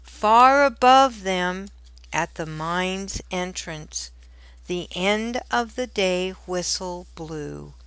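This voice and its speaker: A woman reads a children's story in a slightly low voice. She is very slow and deliberate, yet expressive as a storyteller. The sound is dry and close. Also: smooth, no echo, low-quality recording. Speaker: storybook narrator. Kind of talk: scripted children's read-aloud narration.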